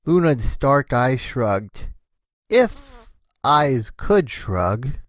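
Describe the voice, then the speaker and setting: A man's voice: medium pitch, very animated, drawn-out words, no echo, clean studio sound. A man giving scripted storybook narration of a cartoon fan fiction.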